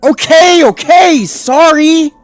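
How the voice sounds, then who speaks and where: A man's voice: high-pitched, slow, no echo, soft background music, voice pushed up. A man voicing an anxious, stammering male Great Dane in a storybook reading. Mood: flustered. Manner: nervous, stammering, flustered. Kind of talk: storybook character voice.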